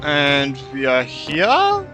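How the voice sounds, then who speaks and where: A man's voice: fairly high pitch, wildly swooping pitch, drawn-out words. A young man giving casual, reactive let's-play commentary as a gaming streamer, with informal muttered asides. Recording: loud game music behind. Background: game music.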